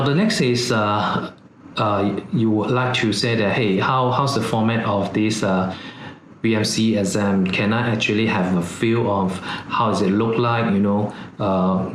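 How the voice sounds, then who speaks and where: Malaysian man, low voice, echoey room. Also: a little hiss or hum. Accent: Malaysian English. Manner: frank and explanatory, many "uh" fillers. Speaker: company CEO presenting training. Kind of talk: live webinar talk.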